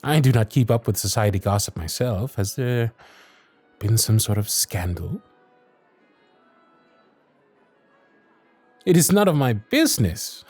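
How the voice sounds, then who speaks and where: A man's voice: on the low side, animated, moderate pace, a little mellow, slightly rough, dry recording, soft background music. A man playing a wry Victorian lord. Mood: teasing. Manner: formal period speech, dry and sardonic. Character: young Victorian male lord, wry, proud, teasing. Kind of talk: ASMR roleplay audio drama.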